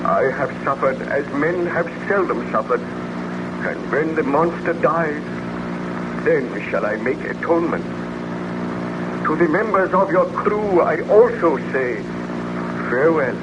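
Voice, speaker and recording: A man's voice: a little high, animated, unhurried, pauses often. An actor playing a dying, guilt-ridden nobleman scientist in a theatrical, solemn 1930s radio drama style. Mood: solemn, weary. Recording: noisy, muffled 1930s radio recording.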